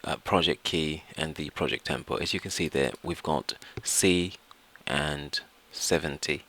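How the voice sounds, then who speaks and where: Guy with a really low but bright crisp-edged voice walking thru a software tutorial, repeats himself a bit. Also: steady tone, measured pace. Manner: explanatory, often repeats and rephrases phrases. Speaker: tutorial presenter. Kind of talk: step-by-step software tutorial narration.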